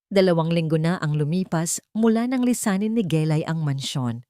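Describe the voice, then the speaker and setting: A woman's voice: sits low, lively intonation, normal speed, dark tone, no room sound, clean studio sound. A woman with a Filipino accent reading a scripted romance story as a dramatic narrator.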